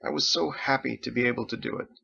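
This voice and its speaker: A man's voice: medium pitch, steady tone, fast talker, gravelly, sharp tone, muffled recording. A man playing an effusive, pious elderly father and tavern keeper, wheedling and full of exclamations, in a read-aloud story. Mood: pleading.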